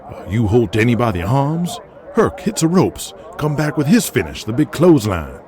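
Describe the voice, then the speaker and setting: A man voicing a persuasive, excitable wrestling booker with a drawl in an audio drama. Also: medium pitch, normal speed, lightly acted. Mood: urgent, persuading. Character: folksy Southern male wrestling booker, excitable, persuasive schemer. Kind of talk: audio drama character acting.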